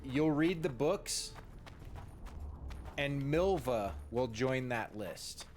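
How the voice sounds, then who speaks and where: A man chatting casually and ramblingly as a game streamer, informal and halting, leaning on key words. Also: medium pitch, lively intonation, a little mellow. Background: game music.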